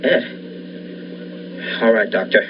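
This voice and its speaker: A man's voice: lowish voice, slow pace. A young man playing an obsessed, distraught scientist in a 1940s-style radio thriller, fraught and pleading. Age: young adult. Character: obsessed, distraught scientist reviving his dead wife. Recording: dull lo-fi recording with faint noise.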